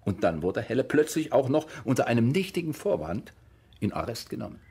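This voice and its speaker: An actor playing a wry former soldier who recounts his past in colloquial German radio drama monologue, with a gravelly, husky voice. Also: medium pitch, very animated, brisk, dark tone. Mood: tense recollection.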